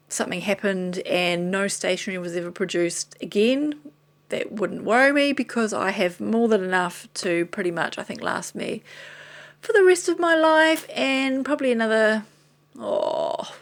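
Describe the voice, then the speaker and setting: A woman with a New Zealand accent, tired and slightly hoarse, chatting casually and rambling off topic as she shows things to the camera. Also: mid-range voice. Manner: rambling, chatty, self-deprecating, drifting off topic. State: tired and losing her voice. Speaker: vlogger. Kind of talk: casual chat showing items to camera.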